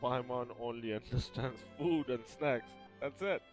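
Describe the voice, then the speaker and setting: A man's voice: medium pitch, measured pace. A man streaming a video game, reacting casually in short, informal remarks. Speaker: gaming streamer. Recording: muffled lo-fi mic over game audio. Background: game music.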